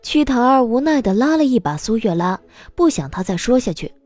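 A woman's voice: on the high side, very animated, fairly quick, a little mellow, dry recording, faint music behind. A woman narrating a scripted audiobook story as the narrator of a drama.

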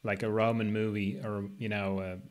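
A man's voice: lowish voice, little pitch movement, moderate pace. Older man, musing podcast host. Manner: hesitant, musing, thinking aloud. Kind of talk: casual chat, asking questions. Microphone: video call with earpiece.

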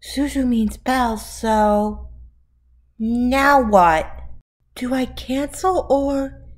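A woman voicing a shy, bewildered teenage girl in an animated film. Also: fairly high pitch, drawn-out words. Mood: confused, hesitant. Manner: hesitant, bewildered.

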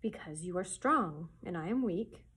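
A woman's voice: on the low side, lively intonation, normal speed, fairly bright tone, husky, heavily acted. A woman voicing a small, meek young girl who pleads earnestly. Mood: pleading. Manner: pleading, tearful.

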